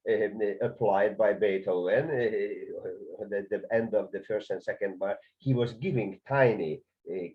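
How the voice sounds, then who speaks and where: Hungarian-accented man teaching conducting. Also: fairly low pitch. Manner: hesitant, many filler sounds and restarts. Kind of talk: video lesson on conducting. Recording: dull lo-fi sound.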